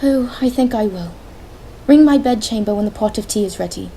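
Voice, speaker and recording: An actress playing a caring young gentlewoman in a radio drama, soothing and coaxing in manner. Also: mid-range voice, fairly quick, slight background noise, natural voice, poor audio.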